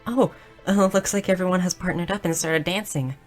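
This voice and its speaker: A woman voicing a shy, nerdy, curious young goblin girl in a roleplay audio drama, speaking softly and earnestly with small stammers. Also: on the low side, fairly quick, soft background music, natural voice. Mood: nervous, flustered. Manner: soft, hesitant, stammering, earnest.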